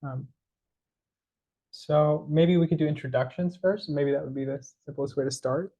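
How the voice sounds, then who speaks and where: A man's voice: fairly high pitch, normal speed, mellow, lo-fi sound. A young man who is a divinity student and part-time organizer welcomes a small group, chatting casually with fillers and small laughs. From Tennessee, US.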